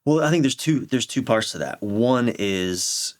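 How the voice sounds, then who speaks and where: A middle-aged American man explaining his views in a candid interview, halting and repeating phrases for emphasis. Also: medium pitch, animated. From United States. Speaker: relationship coach, podcast guest. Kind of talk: reflective conversational interview explanation.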